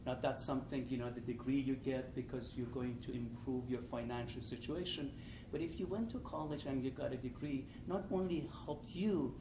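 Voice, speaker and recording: A man in his sixties speaks with an Iranian accent at a medium pitch, addressing an audience like a professor, informal and didactic. The room is echoey and the mic is some way off. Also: steady tone, moderate pace, far from the mic, noisy background. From Iran. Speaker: economics professor and panelist. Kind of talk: informal opening remarks to an audience. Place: live panel event in a room with an audience.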